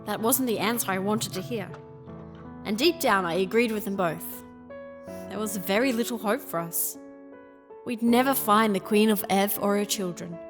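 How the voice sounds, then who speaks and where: A woman's voice: mid-range voice, normal speed, close to an everyday voice. A woman playing an earnest, burdened young princess in a fantasy audio drama, both speaking and narrating. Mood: sombre narration. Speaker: character and narrator. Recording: loud music behind.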